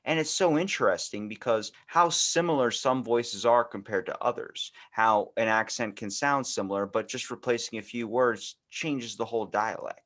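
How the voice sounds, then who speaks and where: A man's voice: medium pitch, moderate pace, few pauses, a bit bright. A man hosting a podcast, talking in a casual, rambling, opinionated conversational way. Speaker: podcast host. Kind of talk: casual interview chat.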